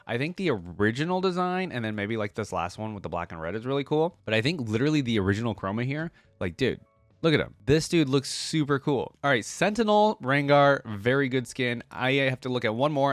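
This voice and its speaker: A man's voice: mid-range voice, dry recording. A man hosting a reaction video, talking casually and off the cuff in informal, slangy language as he thinks aloud.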